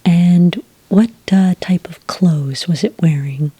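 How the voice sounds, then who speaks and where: A woman speaking in a soft, whispery voice close to the mic, playing a polite, unhurried agent in an ASMR roleplay. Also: on the low side. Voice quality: breathy, whispery. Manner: gentle, unhurried, politely procedural. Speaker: ASMR roleplayer acting as a werewolf report agent.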